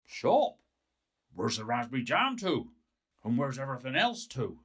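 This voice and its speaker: Guy doing a grumpy old loner, husky n gruff, pitch jumps about, short dialect grumbles, audio story. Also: very animated, a little slow, a bit bright, exaggerated voice. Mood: bothered, indignant. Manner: gruff, dialect-laced, curt speech. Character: grumpy, solitary old village man. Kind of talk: acted dialogue in audio story.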